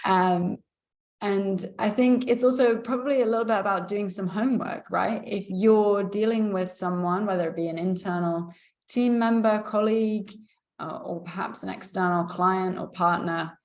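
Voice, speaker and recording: A woman's voice: mid-range voice, steady tone, moderate pace. A British woman hosting a podcast interview in a conversational style. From England. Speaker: podcast host.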